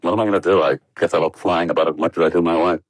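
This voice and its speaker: An actor playing an earnest, troubled young American test pilot, hesitant and subdued in 1950s radio drama style. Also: male voice, low-pitched, steady tone, racing, no echo, clean studio sound. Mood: subdued, worried.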